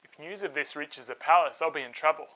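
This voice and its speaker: A man's voice: a little high, brisk, pushed and bright, low-quality recording. A man voicing an impulsive, protective young hero in a storybook tale, speaking in an earnest, exclamatory way. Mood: resolved, uneasy.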